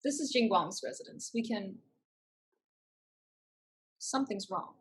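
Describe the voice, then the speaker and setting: A woman's voice: mid-range voice, a little slow, slightly rough. A woman playing a fierce, protective older sister, direct and firm, in a staged play reading. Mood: urgent.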